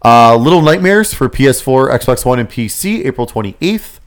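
A man co-hosting a gaming podcast, reading out release dates with quick asides and easy laughter in casual chat. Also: medium pitch, expressive.

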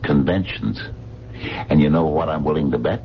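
A man's voice: a bass voice, moderate pace. An actor in a radio mystery drama playing a streetwise working-class sleuth, slangy and emphatic as he reasons step by step. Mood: insistent, reasoning. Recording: muffled, noisy 1970s radio broadcast.

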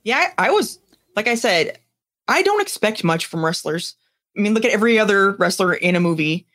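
A woman's voice: mid-range voice, animated, fairly quick, fairly bright tone. A woman co-hosting a podcast, chatting casually about pop culture. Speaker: podcast co-host.

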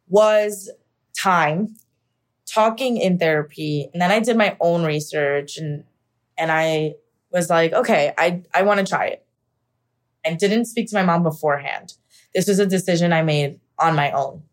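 A woman's voice: fairly low pitch, lively intonation, measured pace. A young American woman from Pennsylvania telling a personal story candidly and conversationally, with frequent filler words like "like" and "you know". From Pennsylvania, United States. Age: young adult. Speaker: podcast guest, actor.

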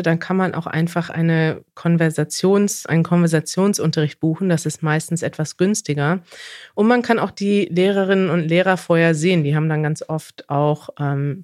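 A woman from Germany co-hosting a podcast, explaining things clearly and chatting in a lively way. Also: fairly low pitch, no echo, studio quality. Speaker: podcast co-host. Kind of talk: casual podcast chat, explaining.

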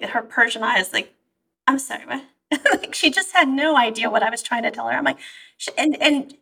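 A woman, born in Iran and raised in the US, telling stories in an animated, conversational way as an interview guest. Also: high voice, creaky, breathy edge, recorded from a distance, low-quality recording. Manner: animated storytelling, quoting herself and her mother. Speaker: therapist guest.

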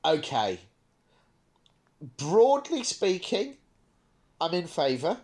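Male co-host, British, voice a little high and very melodic. Wry, mock-serious, conversational. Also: big pitch swings, slow pace, a little mellow. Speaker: podcast co-host. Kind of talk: casual podcast chat.

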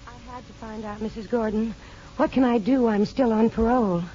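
A woman's voice: a little high, steady tone, a little fast, warm tone, lightly acted. An actress in a 1950s radio drama playing an anxious, tender young woman in love, speaking earnestly and hesitantly. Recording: dull 1950s radio broadcast recording.

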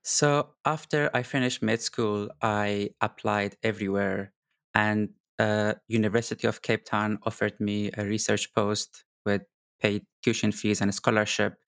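A man's voice: on the low side, flat delivery, unhurried, a bit bright, no echo, clean studio sound. A man who grew up in Kuwait, speaking earnestly in his third language as a doctor being interviewed. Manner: earnest, with occasional restarts in a non-native English. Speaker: guest doctor. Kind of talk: casual interview talk.

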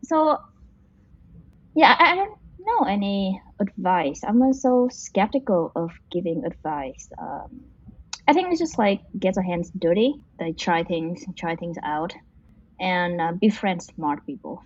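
A woman's voice: fairly low pitch, very animated, a little slow, husky, dry recording. Hesitant woman from Vietnam, muffled audio. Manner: hesitant, many fillers and restarts, frequent "like". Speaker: interview guest, machine learning engineer. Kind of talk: casual interview answers.

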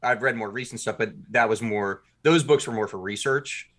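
A man's voice: fairly low pitch, normal speed, a bit bright. A man guest on a podcast, a writer and producer, chatting casually and rambling with many fillers and restarts.

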